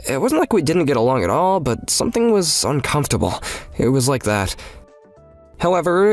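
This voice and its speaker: A man's voice: medium pitch, natural voice. A man voicing an earnest teenage big brother. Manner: earnest, protective, self-doubting first-person narration. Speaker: voice actor in a fan manga dub. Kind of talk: manga dub narration.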